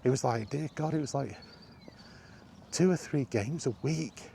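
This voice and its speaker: A man's voice: medium pitch, measured pace, gravelly, dark tone, slight background noise. A man talking off the cuff in an exasperated gaming rant, emphatic and full of rhetorical questions. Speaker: solo video game vlogger.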